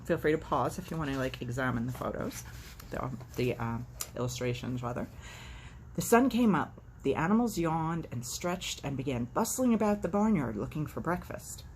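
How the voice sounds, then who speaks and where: A woman's voice: low-pitched, expressive, normal speed, darkish tone, slight background noise, poor audio. A woman hosting a casual read-aloud of a children's book, chatting and narrating with wry, irreverent asides. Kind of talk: casual vlog chat and animated storybook read-aloud.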